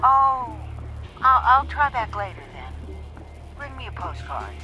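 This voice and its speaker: A woman's voice: on the high side, sing-song, takes it slowly, muffled recording, soft background music. A woman playing a kindly, sweet older lady in a video game, with folksy manners. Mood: sweet, unhurried.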